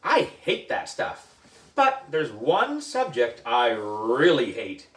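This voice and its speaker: A man reads a children's story with big swings in pitch and theatrical emphasis. He takes his time over the words, and he sounds set back from the mic in an echoey room. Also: wildly swooping pitch, takes it slowly, a bit bright, far from the mic. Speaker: book reader for a children's book club. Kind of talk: animated children's story reading.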